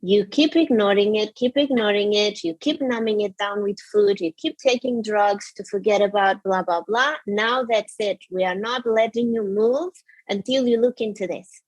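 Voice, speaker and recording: A woman's voice: mid-range voice, normal speed, dry recording, poor audio. A woman from Portugal with a Portuguese accent, a guest telling her story candidly, restarting often and saying "blah, blah, blah.". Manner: open, emotional, frequent restarts and fillers.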